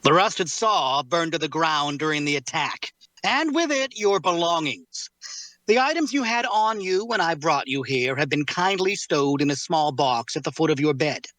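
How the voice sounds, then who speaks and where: A man playing a composed, faintly menacing captor in an audio drama read, speaking in measured, formal, precise phrases. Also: fairly high pitch, animated, sharp tone, no room sound, low-quality recording. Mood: calm, controlled. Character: composed, faintly menacing male captor tending his prisoner.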